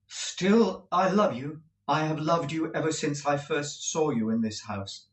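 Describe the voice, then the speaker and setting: A man's voice: on the high side, a little slow, distant mic, pitched above the performer's own voice, lightly acted. A man reading aloud as an earnest young man who pleads in a trembling, devoted way. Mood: pleading. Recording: roomy echo.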